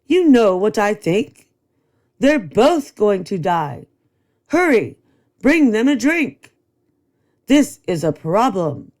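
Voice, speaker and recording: A woman's voice: medium pitch, big pitch swings, takes it slowly, long pauses, darkish tone, pitched above the performer's own voice. A woman voicing a fussy, bossy male school principal in a read-aloud storybook, declaiming in rhyme.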